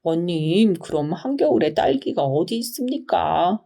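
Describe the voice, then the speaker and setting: A woman's voice: lowish voice, animated, unhurried, vocal fry, warm tone, heavily acted. A woman voicing a clever servant's son in a storybook, polite, composed and slyly cheeky. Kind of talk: storybook character reading.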